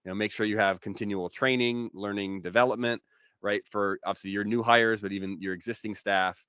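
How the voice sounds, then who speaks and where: A man with a smooth, fairly low voice, recorded close and dry. He explains business matters as an expert guest in an informal, conversational way. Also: fairly even intonation, brisk, a bit bright, no room sound. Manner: informal, explanatory, frequent "you know".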